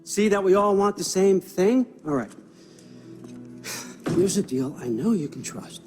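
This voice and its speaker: A man's voice: sits high, lively intonation, unhurried, long reverb tail, over music. An actor playing a sly, sardonic older god-king in a video game, wheedling and conversational with menace underneath. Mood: wry, persuasive. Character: sly, wry older male Norse god-king and patriarch. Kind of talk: cinematic video game acting.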